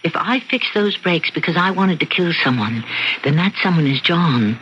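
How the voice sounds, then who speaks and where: An actress playing a defiant, bored housewife with murderous wishes, arguing and justifying herself in an old-time radio mystery style. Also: female voice, fairly low pitch, animated, moderate pace, natural voice. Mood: coldly resolved. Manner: argumentative, self-justifying. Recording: muffled vintage radio recording with noise.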